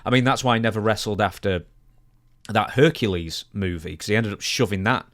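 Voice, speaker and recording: A man's voice: on the low side, fairly quick, fairly bright tone, no room sound. A young man co-hosting a podcast, chatting casually about wrestling into a close studio microphone. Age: young adult. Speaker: podcast co-host. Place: small home studio. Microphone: broadcast microphone on boom arm, close.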